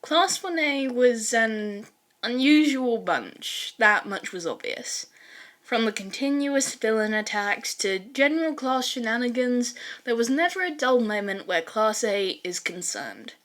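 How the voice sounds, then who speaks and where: Young woman, higher-pitched, reading fan fiction. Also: lively intonation, a little slow, fairly bright tone. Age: young adult. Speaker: podfic author and reader. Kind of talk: casual spoken intro and fan fiction narration.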